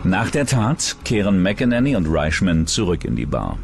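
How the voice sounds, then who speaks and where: A man's voice: sits low, big pitch swings, moderate pace, gravelly, soft background music. A man reading scripted true-crime documentary narration as the narrator.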